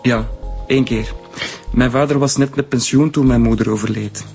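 Actor as a gravelly, resentful Flemish man. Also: mid-range voice, steady tone, normal speed, a little mellow, faint music behind, lightly acted. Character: resentful adult nephew of a priest. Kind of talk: radio drama acting.

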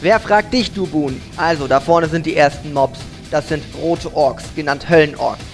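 A man's voice: fairly high pitch, moderate pace, soft background music, natural voice. A man acting a cocky, bossy night elf hunter in a comedic fantasy game audio drama. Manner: arrogant, bragging, commanding.

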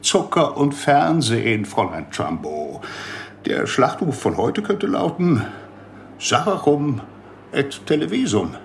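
Rambling conspiracy doctor in a radio drama, swoopy emphatic delivery, creaky voice, bit of noise behind. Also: male voice, mid-range voice, sing-song, slow pace, heavily acted. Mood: emphatic, amused. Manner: theatrical, lecturing, emphatic. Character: rambling, conspiracy-minded male doctor, self-amused lecturer. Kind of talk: radio play acting.

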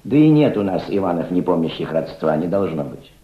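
A proud, cultured gentleman revolutionary speaks in a low, highly modulated voice. He reflects and orates like a fatherly mentor in an old radio play, heard in a roomy, echoing recording. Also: big pitch swings, brisk, lo-fi sound. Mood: earnest, admonishing. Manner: reflective, oratorical, fatherly toward a young listener. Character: proud, cultured older Russian aristocrat turned revolutionary diplomat. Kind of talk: Soviet radio drama acting.

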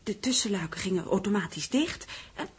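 An actress playing a curious, naive and concerned young female secretary in a 1960s Dutch radio drama, with a breathy voice. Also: mid-range voice, animated, fairly quick.

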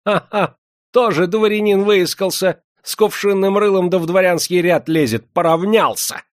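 Man playing a shrill, haughty shabby nobleman. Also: normal speed, no echo, clean studio sound, lightly acted. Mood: scornful sneering. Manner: bullying, indignant, shrieking. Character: shabby, haughty, shrill hereditary nobleman, fawning then furious.

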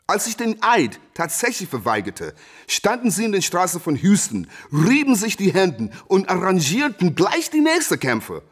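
An actor playing a defiant, cocky American boxing champion in a German radio play, boastful and emphatic. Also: male voice, sits high, wildly swooping pitch, normal speed, slightly rough, heavily acted. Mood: boastful, bitter. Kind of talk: German radio play acting, interview monologue.

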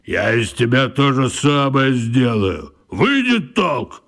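An actor playing a brash, boastful boxing coach who talks in emphatic slang in a radio drama. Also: male voice, mid-range voice, big pitch swings, takes it slowly, a bit bright, exaggerated voice. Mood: boastful, excited. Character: brash boastful male boxing coach, simple-minded and keen.

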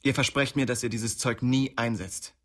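A man's voice: medium pitch, steady tone, normal speed, fairly bright tone, natural voice. A male actor in a radio play, playing a cornered young gang boss who is bitter, guarded and accusing. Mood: bitter, bargaining.

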